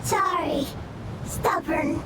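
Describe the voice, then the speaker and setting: A woman's voice: extremely high pitch, steady tone, extremely slow, very noisy, exaggerated voice. A woman voicing a small, childlike fungus creature that speaks in clipped, broken phrases in a video game. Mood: pleading. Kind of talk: anime video game dialogue.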